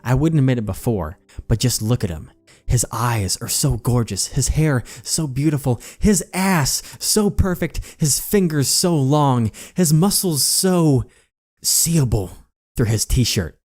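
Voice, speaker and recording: A man voicing excitable young women gossiping over a crush, in a melodramatic, emphatic way. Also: medium pitch, measured pace, pitched above the performer's own voice. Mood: gushing.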